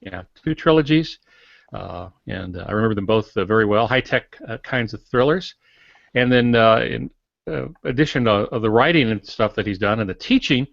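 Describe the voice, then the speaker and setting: Low-voiced man in his sixties, dull audio. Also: creaky, fairly bright tone, no echo. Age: 60s. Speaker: podcast co-host. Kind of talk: informal guest introduction.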